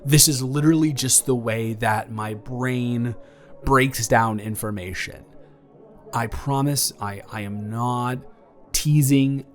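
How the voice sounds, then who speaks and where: Casting: male actor for young ex-boyfriend, romantic audio roleplay. Flustered, tender, halting stammer, unhurried rambling, music underneath. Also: medium pitch, natural voice. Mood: reassuring, flustered. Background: background music.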